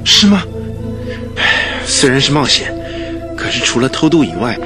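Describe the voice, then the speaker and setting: An actor playing an earnest, determined young husband in a Chinese radio drama. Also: male voice, mid-range voice, very animated, normal speed, music in the background, lightly acted. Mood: reluctant.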